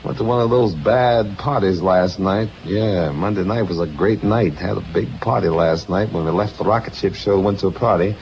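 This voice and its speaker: A hoarse man working as a radio disc jockey, rattling off showy rhyming slang patter on an old radio broadcast. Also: low voice, normal speed, hardly any pauses, a little mellow, slight background noise. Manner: showy, rhythmic hipster slang. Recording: dull lo-fi vintage radio aircheck.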